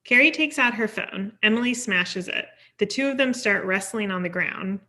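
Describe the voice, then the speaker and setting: A woman narrates a screenplay at a table read. Her voice is bright and forceful at a medium pitch, and she delivers scene headings and actions as scripted narration. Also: lively intonation, normal speed. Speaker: stage directions reader.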